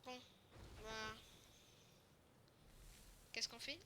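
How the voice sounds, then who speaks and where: A young woman playing a forceful, confused half-zombie survivor in an improvised game roleplay, speaking informally and haltingly with repetitions. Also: a little high, steady tone. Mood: puzzling, scheming. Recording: noisy home recording with clicks.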